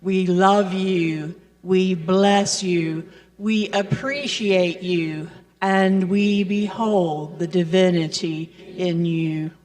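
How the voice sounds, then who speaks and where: A woman making gentle announcements and blessings during a church service. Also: lowish voice, fairly even intonation. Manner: slow, gentle, blessing-style delivery. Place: church sanctuary. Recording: voice picked up from a distance.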